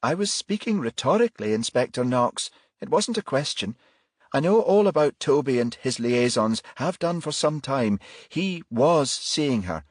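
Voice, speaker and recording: Man voicing a haughty, sardonic middle-aged woman, pitched up. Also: animated, moderate pace, no room sound, clean studio sound, natural voice. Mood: sardonic, cool. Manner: condescending, dispassionate. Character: cold, sardonic, haughty businessman's wife in her late forties.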